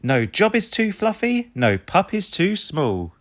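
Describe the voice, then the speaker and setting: A man's voice: high voice, huge pitch swings, unhurried, pitched above the performer's own voice, full character voice. A man voicing an upbeat, commanding young boy who leads rescue pups in a children's storybook, rallying and encouraging. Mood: reassuring, upbeat.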